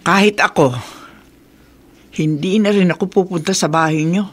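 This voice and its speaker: A woman's voice: fairly low pitch, normal speed, close to an everyday voice. A Filipino actress playing a disapproving, protective elderly grandmother in a radio drama, speaking emphatically.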